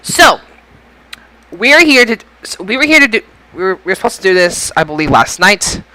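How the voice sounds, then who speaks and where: Bright, clear man hosting a podcast. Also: sits high, big pitch swings, normal speed, dry recording, poor audio. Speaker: podcast host. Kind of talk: casual podcast show intro and chat.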